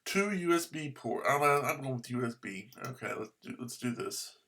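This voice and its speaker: A man from the United States vlogging about ham radio, muttering to himself as he searches on his computer, with bursts of excited cheering. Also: medium pitch, normal speed. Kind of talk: casual screen-recorded commentary.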